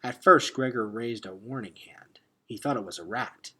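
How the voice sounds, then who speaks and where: A man reading a children's adventure novel aloud like a teacher, expressive and dropping into hushed tones for suspense. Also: medium pitch, moderate pace, slightly rough. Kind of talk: dramatic read-aloud narration of a children's novel.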